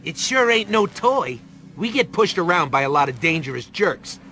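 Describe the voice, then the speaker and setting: A man's voice: on the high side, sing-song, normal speed, pushed and bright, a little hiss or hum. A man voicing a small, brash, gun-crazy raccoon mercenary with a griping, sarcastic manner in a video game. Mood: boastful, wry.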